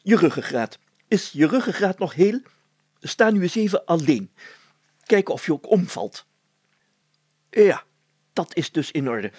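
A man's voice: high-pitched, sing-song, measured pace, pauses often, darkish tone, breathy edge, no echo, pitched above the performer's own voice, heavily acted. A man voicing a bossy, hearty village mayor in a storybook, commanding and pompous, declaiming his lines.

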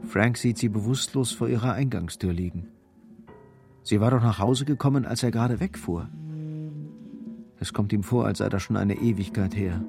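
A man narrates a radio drama in a low voice. His scripted delivery is grave and measured, with music clearly audible underneath.

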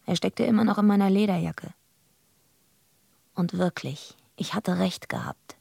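Gravelly, defiant young loner. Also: female voice, mid-range voice, unhurried, darkish tone, no room sound, heavily acted. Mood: reflective narration. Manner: blunt, streetwise, defiant. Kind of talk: radio drama acting with first-person narration.

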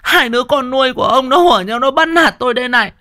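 Male storyteller as a screeching stepmother, very high, dry close sound. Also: expressive, quick pace, pushed and bright, no echo, heavily acted. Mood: wailing. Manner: screeching, wailing, scolding. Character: shrill scheming stepmother, middle-aged woman.

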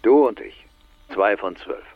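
Dull-sounding, deep, blunt middle-aged man. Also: a little slow, no echo, faint music behind. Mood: probing, shaken. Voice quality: gravelly, husky. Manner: blunt, questioning, expressive. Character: middle-aged male old friend, probing, shaken, blunt. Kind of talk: naturalistic radio drama dialogue.

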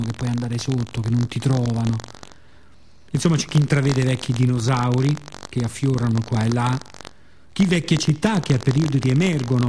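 Mellow Roman man telling a reflective story. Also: medium pitch, a little hiss or hum. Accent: Roman Italian. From Rome, Italy. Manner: reflective, wry, with colloquial asides. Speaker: writer-performer of radio theatre monologues. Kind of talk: scripted storytelling monologue, conversational and literary.

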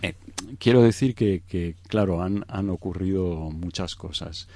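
A man's voice: low voice, unhurried, vocal fry, dark tone, no room sound. A middle-aged man from Egypt explaining things in an unscripted interview, hesitant, searching for words and often adding tag questions. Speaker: interview guest.

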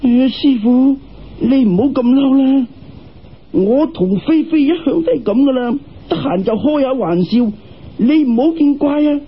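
一个男人用粤语口音扮演顽皮大胆嘅年轻道门徒弟，讲嘢冲口而出，录音发闷。. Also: male voice, very high-pitched, expressive, measured pace, breathy edge, pitched above the performer's own voice, full character voice. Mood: cheeky pleading. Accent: Cantonese. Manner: cheeky, brash, challenging. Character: cheeky, bold young disciple of a Taoist master. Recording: dull, muffled radio-style recording.

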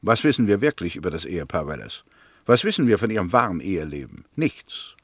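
A man acting in a 1960s German radio play as a probing, sceptical Englishman who sets out a murder case in a deliberate, precise way. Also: low-pitched, very animated, dry recording. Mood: sceptical, insistent.

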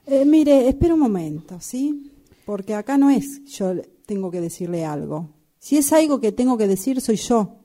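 A woman acting as a bossy, scornful workshop boss in an improvised radio drama, curt and quick to interrupt. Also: a little high, expressive, mellow. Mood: scornful, demanding.

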